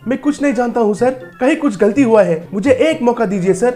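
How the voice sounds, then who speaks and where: Man as a high, pleading honest shopkeeper. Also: lively intonation, fairly quick, dark tone. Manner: pleading, deferential. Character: honest, naive male pump owner, anxious pleader.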